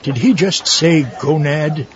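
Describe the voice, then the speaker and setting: Man as an irritable, higher-voiced scientist. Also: slow pace. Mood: irritated. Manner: exasperated, snide. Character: irritable, sarcastic, know-it-all male scientist astronaut. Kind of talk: comedic audio drama acting. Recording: muffled lo-fi recording. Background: music.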